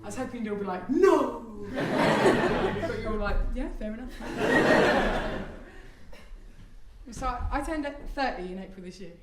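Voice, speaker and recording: A woman's voice: mid-range voice, lively intonation, takes it slowly, long pauses, heavy background noise. A woman in her thirties doing stand-up comedy, chatty and self-deprecating with apologetic asides, recorded live on a theatre stage. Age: 30s. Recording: echoey venue, heard from a distance.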